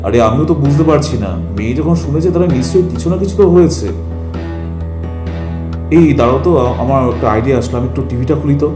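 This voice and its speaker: A man playing a concerned, bossy middle-aged father, speaking insistently in an animated family drama. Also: medium pitch, fast, lightly acted. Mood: puzzled, impatient. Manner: insistent, repeats short commands. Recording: roomy echo with music behind.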